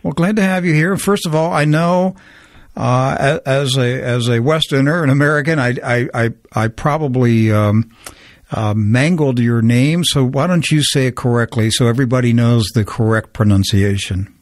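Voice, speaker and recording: A man's voice: mid-range voice, normal speed, dry recording, clean studio sound. A man hosting a talk radio show, reading a scripted intro and then interviewing with earnest, stumbling restarts.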